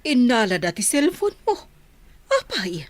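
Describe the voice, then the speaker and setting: A woman's voice: a little high, sing-song, unhurried, dry recording. A woman with a Filipino accent playing a concerned, sympathetic godmother in a radio drama.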